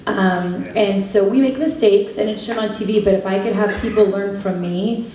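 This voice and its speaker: A young woman on a convention panel answering fan questions, bubbly and quick with jokes, laughing often. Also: medium pitch, mellow, far from the mic. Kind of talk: casual convention Q&A. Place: large convention hall. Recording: echoey hall, heard from afar.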